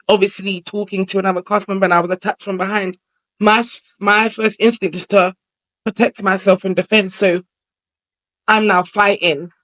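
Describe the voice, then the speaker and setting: A man's voice: high voice, fairly bright tone. A young man with a feminine voice, talking at length as an interview guest in a casual, rambling way. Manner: chatty, rambling, explains at length with filler phrases.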